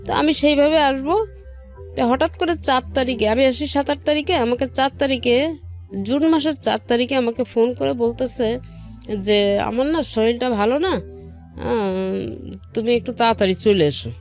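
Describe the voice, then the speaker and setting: A woman's voice: high voice, moderate pace, long pauses. A middle-aged woman with a Bangladeshi accent, telling her own life story conversationally as an interview guest, recounting who said what in detail. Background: background music.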